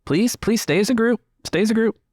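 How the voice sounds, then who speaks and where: A man's voice: high-pitched, a little fast, darkish tone, no room sound, clean studio sound, pitched above the performer's own voice. A man voicing a prim, huffy tour guide who lectures officiously in a tabletop game. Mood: pleading, bossy. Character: prim, huffy male tour guide reciting dubious local history.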